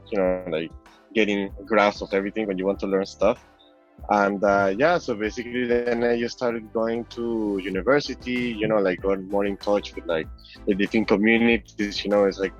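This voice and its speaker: Venezuelan guy chatting on a call, kinda low voice, rambly, lo-fi sound w music behind. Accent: Venezuelan Spanish. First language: Spanish. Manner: rambling, heavy use of "like" and "you know". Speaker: interview guest, crypto app designer. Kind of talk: casual chat. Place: online call.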